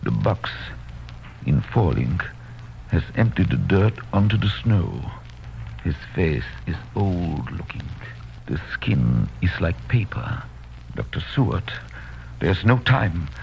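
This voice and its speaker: An actor playing an authoritative doctor hunting a vampire, intense and declamatory in 1930s radio style. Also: male voice, low voice, very animated, takes it slowly, gravelly, full character voice. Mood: grave. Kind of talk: 1930s radio drama acting. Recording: dull, noisy vintage radio recording.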